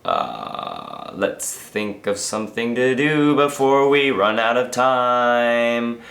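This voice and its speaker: A tired young man vlogging casually, hoarse and losing his voice from being sick, rambling and interrupting himself. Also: medium pitch, big pitch swings, slow pace, fairly bright tone. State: tired after a long work shift. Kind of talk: casual daily vlog. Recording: echoey room.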